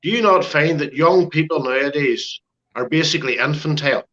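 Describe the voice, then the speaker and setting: A man's voice: on the high side, brisk, pushed and bright, lo-fi sound. A man in his forties with a Northern Irish accent, a former soldier talking bluntly and forthrightly in a casual panel chat. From Northern Ireland. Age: 40s. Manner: blunt and forthright, gruff opinions.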